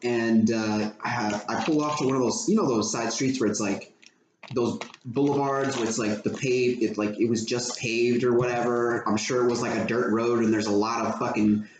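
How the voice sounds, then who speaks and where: A man's voice: medium pitch, steady tone. An American man chatting casually and telling rambling, profanity-laced anecdotes as a co-host. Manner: rambling, profane, quick to laugh. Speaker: podcast co-host telling stories. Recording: roomy echo with clear background noise.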